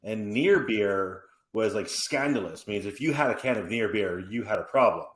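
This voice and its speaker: A man in his forties hosting a podcast, telling stories in an animated, rambling way with many restarts and asides. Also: on the low side, moderate pace. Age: 40s. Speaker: podcast host. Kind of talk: casual conversational interview. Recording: roomy echoey room.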